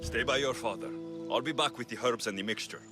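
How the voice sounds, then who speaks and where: An actor playing a cocky, flirtatious Greek mercenary hero in a video game, bold and teasing. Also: male voice, mid-range voice, fast, over music, natural voice. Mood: reassuring. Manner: bold, smooth-talking, teasing. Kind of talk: video game cutscene acting.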